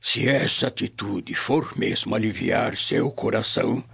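A man acting a kindly, weary elder Roman in a radio drama, with a gravelly, husky voice and a measured, sighing manner. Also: on the low side, a bit bright, heavily acted. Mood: resigned, urging. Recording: dull lo-fi audio.

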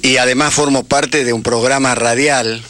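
A man's voice: medium pitch, steady tone, normal speed, a bit bright. A man from northern Argentina with an Argentine accent, talking informally as an activist radio guest. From Tucumán, Argentina. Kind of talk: informal interview talk.

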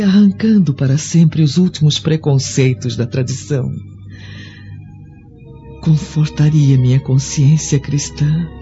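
A woman playing a gentle, devout Roman noblewoman in prison, who speaks slowly and solemnly in a radio drama. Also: deep voice. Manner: slow, solemn and tender. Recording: dull lo-fi recording with music. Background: soft music score.

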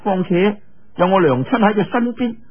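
A man's voice: high voice, lively intonation, moderate pace, narrow, tinny audio, pitched above the performer's own voice. A man voicing a playful, willful young woman in an audiobook, coquettish and whining as he pouts and teases. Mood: pouting.

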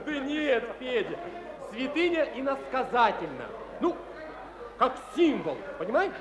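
A man's voice: very high-pitched, sing-song, slow, long pauses, crowd noise, full character voice. A man acting a dreamy, bookish young soldier with a lyrical manner in a radio play. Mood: gently amused. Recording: muffled, echoey hall sound.

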